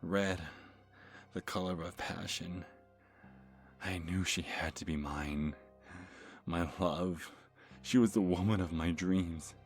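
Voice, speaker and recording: Man acting a deep-voiced obsessive stalker, halting. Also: fairly even intonation. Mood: infatuated, dreamy. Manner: halting, stammering, breathy sighs and self-corrections. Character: obsessive lovestruck male stalker, nervous and creepy. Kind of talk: first-person horror story in ASMR manner. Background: music.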